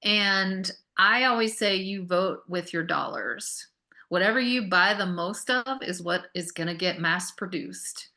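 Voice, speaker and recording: A woman's voice: on the low side, pushed and bright. A woman guest on a talk show, earnestly explaining food and health with emphatic lists. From United States. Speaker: guest nutrition expert. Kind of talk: interview conversation.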